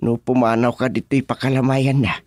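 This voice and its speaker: A man with a Filipino accent playing an excitable father in a radio comedy drama. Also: medium pitch, normal speed, no echo, close to an everyday voice. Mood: pleading, curious. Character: excitable father fretting over his lost cellphone.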